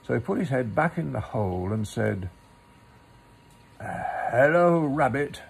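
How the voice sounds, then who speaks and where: A man reading a children's story aloud with gentle, deliberate, theatrical phrasing. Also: fairly low pitch, sing-song, gravelly, husky, low-quality recording. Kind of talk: expressive storybook narration.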